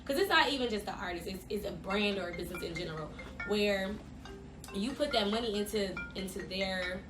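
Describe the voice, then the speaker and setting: A woman's voice: medium pitch, a little fast, music in the background. Nasal congested woman co-host, echoey room. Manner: chatty and animated. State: congested from a sinus infection. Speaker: podcast co-host. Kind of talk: casual chat.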